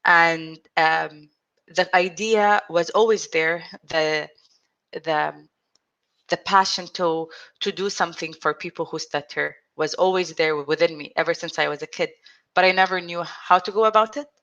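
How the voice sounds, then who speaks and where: A woman in her thirties, an interview guest telling her own story in an earnest, reflective way, speaking with a stutter, frequent restarts and pauses. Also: on the low side, a bit bright, highs cut off. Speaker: interview guest, support group founder. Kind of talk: personal storytelling in conversation.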